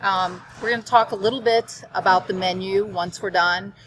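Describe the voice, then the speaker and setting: A woman hosting a podcast interview in a restaurant, brisk and upbeat as she steers the talk. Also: mid-range voice, fairly even intonation, fairly bright tone, slight background noise, poor audio.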